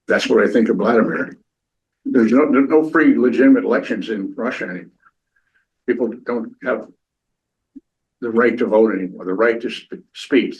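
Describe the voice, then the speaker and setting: A man's voice: on the low side, highs cut off. An older man, a retired navy captain, answering audience questions at a lecture and often repeating words as he starts a sentence.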